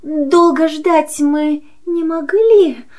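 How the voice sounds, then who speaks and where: A woman's voice: sits high, very slow, warm tone. A woman playing a homeless, genteel female cat pleading for shelter in a children's audio play. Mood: plaintive pleading.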